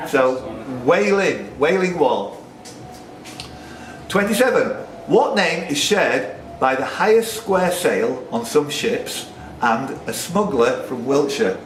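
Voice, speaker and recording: A man hosting a quiz, reading out questions to players and dropping in jokey asides. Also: mid-range voice. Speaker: quiz host and question setter. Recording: noisy, echoey room recording.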